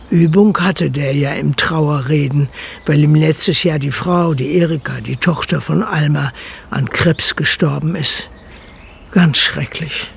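An actor narrating a radio play as a matter-of-fact, gossipy villager with a dry, colloquial manner. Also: male voice, fairly high pitch, vocal fry, slight background noise.

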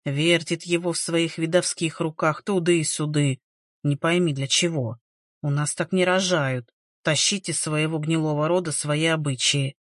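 A woman's voice: low voice, fairly even intonation, moderate pace, dry recording, studio quality, voice pushed down, natural voice. A woman voicing a suspicious, quarrelsome older village woman in an audiobook, grumbling scornfully. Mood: grumbling scorn.

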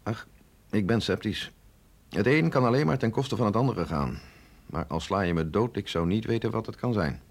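An actor playing a sceptical, authoritative, middle-aged male scientist in a radio drama, explaining in a measured, insistent way. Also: fairly low pitch, animated, creaky. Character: sceptical, authoritative male scientist briefing an agent, no longer young. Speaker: scientist doctor.

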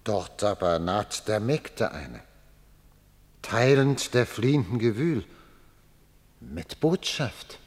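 A deep, solemn elder of a tragic chorus declaims in a long reverb. The verse delivery is weighty, yet it rises and falls widely. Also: male voice, expressive, slow, long pauses, darkish tone, full character voice. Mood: grave foreboding. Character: solemn chorus elder watching disaster unfold. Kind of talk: tragic verse drama for radio.

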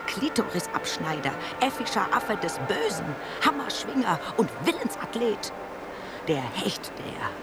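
A woman's voice: fairly low pitch, very noisy. An actress playing a scornful woman who recites a declamatory litany of insults in a radio play, at times dropping toward a whisper.

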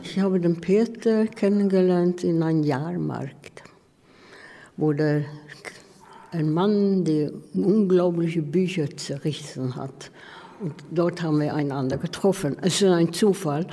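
An older woman with a foreign accent who is not a native German speaker, recalling personal memories in halting, anecdotal phrases. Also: fairly low pitch, mellow. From outside Sweden. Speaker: interviewee, artist recalling her life partner. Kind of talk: personal reminiscence. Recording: long hall reverb, noisy background.

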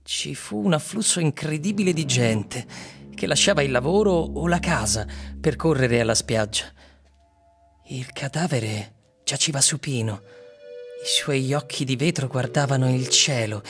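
A man's voice: a little high, animated, measured pace. An actor playing a man who solemnly reads an eerie literary tale aloud in a radio drama. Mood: solemn, descriptive. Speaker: narrator and actor. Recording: music under the voice.

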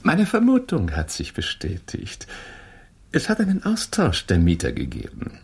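Theatrical, gravelly detective with sweeping intonation. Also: male voice, deep voice, huge pitch swings, darkish tone, full character voice. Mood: analytical, assured. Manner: theatrical, commanding, deliberately explanatory. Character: brisk, analytical male Victorian detective, self-assured and commanding. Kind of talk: German radio drama acting.

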